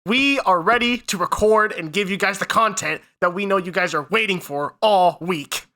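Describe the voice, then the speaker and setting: A man's voice: high-pitched, normal speed, pushed and bright. A man hosting a casual podcast chat, sniffly from a head cold, upbeat and running the intros and plugs. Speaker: podcast co-host.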